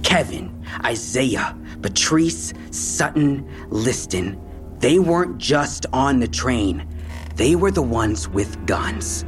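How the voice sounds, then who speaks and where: A man's voice: mid-range voice, lively intonation, takes it slowly. An actor in an audio drama playing a defensive young male street robber, talking in clipped slang. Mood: wary, insistent. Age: young adult. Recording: music bed behind the voice.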